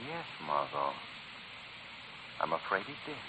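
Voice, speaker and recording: An actor playing a quick-witted gentleman adventurer in a 1940s radio thriller, measured, polite and coolly confident. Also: extremely low pitch, big pitch swings, full character voice. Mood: calm, wary. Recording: hissy, noisy 1940s radio recording.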